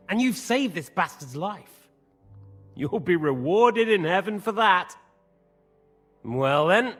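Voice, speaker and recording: An actor playing a sadistic, mocking commander who toys with prisoners in a leisurely, falsely courteous way, in a video game cutscene. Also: male voice, a little high, fairly bright tone, heavily acted. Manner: taunting, leisurely, theatrically courteous. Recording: music behind the voice.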